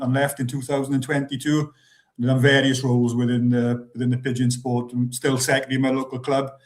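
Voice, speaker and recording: A man in his fifties with a South Welsh accent, telling about himself plainly as a podcast guest. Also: mid-range voice. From South Wales. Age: 50s. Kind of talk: casual podcast chat.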